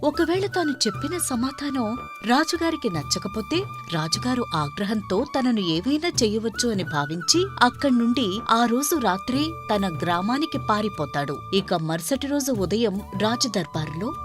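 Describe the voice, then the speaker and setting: A woman narrating a children's moral story in an expressive, scripted storytelling style. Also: fairly high pitch, moderate pace, over music.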